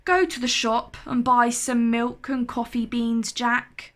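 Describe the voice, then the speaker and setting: A woman voicing a brisk, hard-up mother with a quick temper in a children's storybook. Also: a little high, little pitch movement. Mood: brave-faced. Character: hard-up boy's mum, brave-faced but quick to anger.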